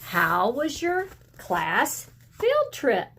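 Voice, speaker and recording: A disbelieving storybook parent, read aloud by a woman, questions a child. The words come slowly and deliberately, in an incredulous, questioning manner. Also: high voice, wildly swooping pitch, fairly bright tone, slight background noise, pitched above the performer's own voice, heavily acted. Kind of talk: picture book read-aloud.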